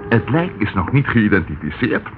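A methodical pipe-smoking detective in an old radio drama. His voice is low and moves lively up and down. The recording is muffled, with a clear hiss behind it. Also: moderate pace. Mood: matter-of-fact. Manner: calm, explanatory.

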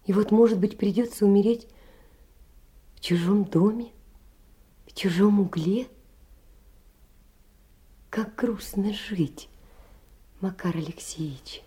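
An actress playing a gentle, lonely poor young woman, wistful and earnest, in a theatrical literary audio drama. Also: mid-range voice, slow pace, long pauses, slightly rough. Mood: sad, wistful.

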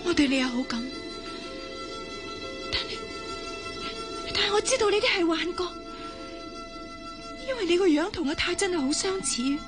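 High-voiced, sorrowful immortal woman, Hong Kong Cantonese. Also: very animated, moderate pace, long pauses. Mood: torn, pleading. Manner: emotional, pleading, melodramatic. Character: immortal woman in her eighties who looks twenty, lonely, sorrowful. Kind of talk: Cantonese radio drama acting. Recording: music under the voice.

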